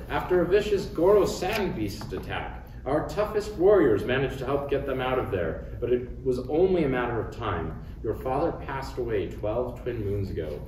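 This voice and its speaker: A man voicing a kindly, dignified alien villager professor with a formal, gentle manner at a comedy table read. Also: mid-range voice, voice pushed up, lightly acted. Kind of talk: parody script table read. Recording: roomy, distant, noisy room recording.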